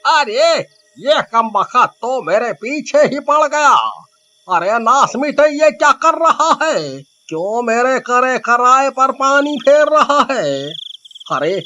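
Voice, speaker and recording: A man voicing a panicky conman disguised as a witch in an animated horror story, flustered and grumbling. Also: extremely high pitch, highs cut off, a little hiss or hum, pitched above the performer's own voice, exaggerated voice.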